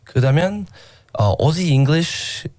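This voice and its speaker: A man from Australia with an Australian accent, a native English speaker answering interview questions in simple, halting phrases mixed with English words. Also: a little high, lively intonation, darkish tone, no room sound, clean studio sound. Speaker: radio show guest, social media creator. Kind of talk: casual interview in halting second-language speech.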